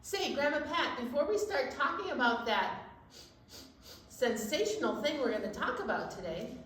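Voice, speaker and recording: A woman's voice: high voice, lively intonation, moderate pace, a bit bright, slight background noise. A woman hosting a children's storytime, chatting with young children in an exclaiming, encouraging way. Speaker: children's library storytime host. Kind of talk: children's storytime chat and sing-along. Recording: echoey room, distant microphone.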